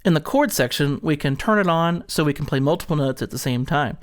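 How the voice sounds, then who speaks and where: A man's voice: fairly high pitch, expressive, brisk. A man presenting an instructional product demonstration, explaining things step by step.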